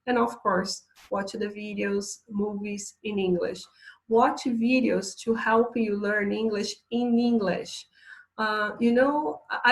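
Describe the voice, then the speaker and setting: A woman's voice: medium pitch, gravelly, poor audio. Woman teaching English. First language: Portuguese. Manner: chatty, reading slides aloud with asides. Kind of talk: online English lesson.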